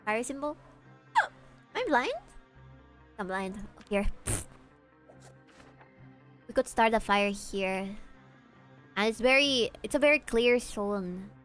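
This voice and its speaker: Young woman, native Spanish speaker, higher pitch, animated casual game-stream chatter, faint music behind. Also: slow, long pauses. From Peru. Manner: chatty and animated, swears freely, reacts to chat.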